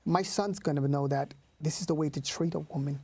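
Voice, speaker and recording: A man's voice: a little high, a little fast, darkish tone, husky. A man in his thirties, born in Puerto Rico, talking casually as an interview guest with many fillers and restarts. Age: 30s. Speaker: youth leader.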